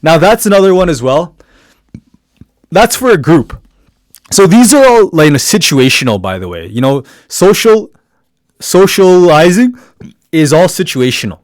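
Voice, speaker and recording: A man talks in a deep, loud voice that comes from the diaphragm and sits a little higher than usual for a man. He gives casual, encouraging advice, full of fillers and restarts. The recording is dry and close, with no room sound. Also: sing-song, measured pace, pauses often, no echo. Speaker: podcast speaker giving networking advice. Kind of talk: motivational talk.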